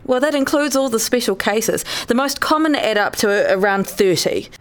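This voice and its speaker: A stern, exasperated mother played by a woman in a radio play. Her voice is fairly high and swings widely in pitch as she deals with her family. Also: expressive, moderate pace, natural voice. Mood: impatient. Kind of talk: audio drama dialogue.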